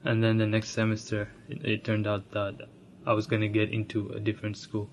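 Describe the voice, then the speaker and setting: A young man from Ethiopia telling his own story, soft-spoken and reflective, with frequent restarts and light laughs. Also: on the low side, completely flat delivery, fairly quick, a little hiss or hum. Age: young adult. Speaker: interviewee sharing his immigrant story. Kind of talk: personal storytelling.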